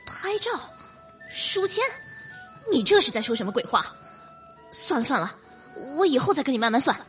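A feisty young martial arts heroine acted by a woman in a radio drama, haughty and bold. Her high voice swings widely through theatrical, archaic lines, with music underneath. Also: big pitch swings, normal speed, fairly bright tone, far from the mic. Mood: suspicious, brusque. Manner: theatrical, archaic swordswoman phrasing.

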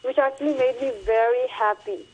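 A woman's voice: high-pitched, steady tone, fairly quick, a little mellow. A young woman, a recent graduate chatting earnestly as a radio guest, with lots of fillers and restarts. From Hong Kong. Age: young adult. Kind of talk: radio interview. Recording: muffled phone line.

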